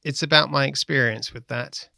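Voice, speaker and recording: A man with a gravelly voice, reading first-person anecdotes as a playwright. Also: mid-range voice, fairly even intonation, normal speed, bright, forceful tone, no echo, clean studio sound.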